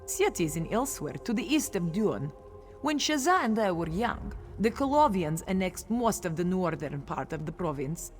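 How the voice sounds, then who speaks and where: A woman's voice: fairly low pitch, big pitch swings, moderate pace, faint music behind. An actress playing a proud, grieving young cat-folk warrior woman in a video game, with earnest dramatic delivery. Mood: wistful, grieving. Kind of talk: video game character dialogue.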